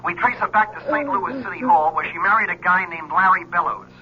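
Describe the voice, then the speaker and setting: An actor playing a chatty, breezy police lieutenant talking on the telephone in 1970s radio drama style. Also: male voice, high voice, expressive, brisk, pushed and bright, slight background noise, full character voice. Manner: breezy, talkative, colloquial. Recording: dull old radio, telephone-like sound.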